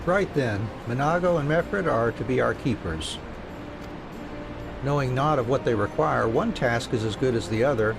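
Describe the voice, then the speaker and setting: A man's voice: medium pitch, normal speed, gravelly, music in the background. A man streaming a game, reading story dialogue aloud and chatting casually. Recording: steady noise behind the voice.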